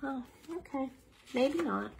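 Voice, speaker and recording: A woman reading picture books aloud to young children, playful and dramatic, pausing for chatty asides and questions to the listener. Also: high voice, lively intonation, creaky, a little hiss or hum, rough recording.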